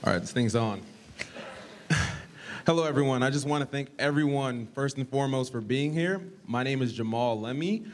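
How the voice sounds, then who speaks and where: A man's voice: mid-range voice, normal speed, slight background noise. A man co-moderating a town hall panel, thanking the crowd in a friendly, loose way. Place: community hall with audience. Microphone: handheld mic.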